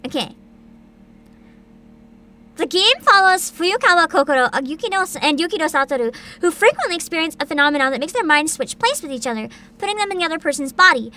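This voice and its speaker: A woman's voice: extremely high pitch, lively intonation, quick pace, bright, forceful tone. A woman hosting a livestream, chatting casually and reading bits aloud in a bubbly, enthusiastic manner. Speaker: virtual streamer host.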